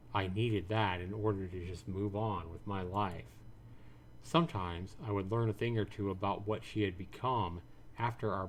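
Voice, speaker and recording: Low-voiced man reading game narration. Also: a little hiss or hum. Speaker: streamer. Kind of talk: reading visual novel narration aloud. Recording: music behind the voice. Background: guitar music.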